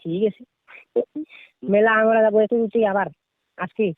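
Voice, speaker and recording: A man's voice: sits high, steady tone, normal speed, dry recording. A young man with a North Bengal accent chatting and arguing casually over the phone. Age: teens to young adult. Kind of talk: casual, argumentative phone chat. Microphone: phone call. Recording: tinny phone-line sound, dry.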